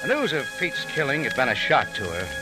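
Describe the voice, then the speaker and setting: An actor playing a tough, wry American adventurer in old radio detective style, with a clipped, casual hard-boiled delivery. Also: male voice, medium pitch, sing-song, brisk, natural voice, rough recording. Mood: hard-boiled narrating. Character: tough, wry American adventurer hero narrating his case. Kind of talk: 1940s-50s radio detective acting. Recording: old radio recording with music.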